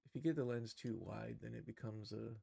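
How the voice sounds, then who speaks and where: A man thinking aloud through a casual live software tutorial, in an informal, musing, tentative manner. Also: medium pitch, brisk, gravelly, breathy edge, dry recording. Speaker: streamer teaching animation software.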